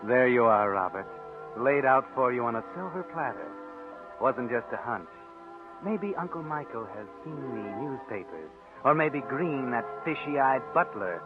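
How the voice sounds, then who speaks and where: An actor playing a sinister, sardonic narrator who taunts a schemer in a 1940s radio thriller. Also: male voice, mid-range voice, expressive, measured pace, natural voice. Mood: mocking. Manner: sardonic, insinuating. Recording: tinny old radio recording with music.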